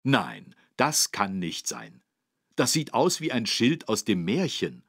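A man playing a patient, friendly instructor, narrating for children in an encouraging, didactic way with a husky voice. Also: fairly low pitch, wildly swooping pitch, slow, dry recording, clean studio sound, exaggerated voice. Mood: instructive. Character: friendly, patient adult man teaching children road safety. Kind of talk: scripted children's educational narration.

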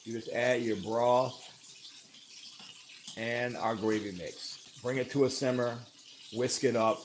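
A man's voice: medium pitch, fairly even intonation, slow pace, background noise. A man co-hosting a home cooking livestream from off camera in a kitchen, chatting casually and reading viewer comments aloud. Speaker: co-host reading live chat.

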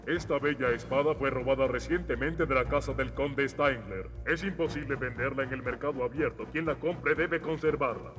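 Formal shady auctioneer voiced by a man, mid pitch. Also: normal speed, hardly any pauses, lightly acted. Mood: businesslike. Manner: formal, businesslike. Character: shady male auctioneer of stolen treasures. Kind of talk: dubbed animated adventure acting. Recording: clear music behind the voice.

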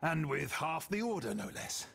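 An actor playing a dutiful, tired guard captain in a fantasy game, frank and authoritative. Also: male voice, on the high side, sing-song, normal speed, vocal fry, fairly bright tone, slightly rough, heavily acted. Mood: weary gratitude. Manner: frank, world-weary, authoritative. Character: weary, dutiful male guard captain, plain-spoken soldier.

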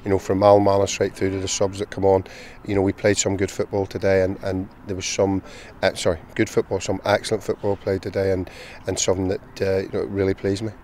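A middle-aged man with a Northern Irish accent, a football manager giving a measured post-match interview outdoors at a stadium, with many "you know" fillers. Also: sits low, monotone, a little mellow, slight background noise. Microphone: handheld interview mic, close camera.

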